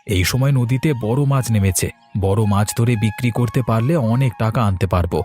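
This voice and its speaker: A man's voice: fairly low pitch, normal speed, darkish tone, faint music behind, lightly acted. A man playing an earnest, determined, plain-spoken villager in a Bengali cartoon.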